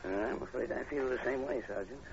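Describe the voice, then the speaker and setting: An actor playing a calm, analytical professor with a measured, polite manner in a 1940s radio horror drama. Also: male voice, medium pitch, vocal fry, close to an everyday voice. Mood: thoughtful. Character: calm, analytical male professor investigating strange killings. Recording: muffled, noisy 1940s radio recording.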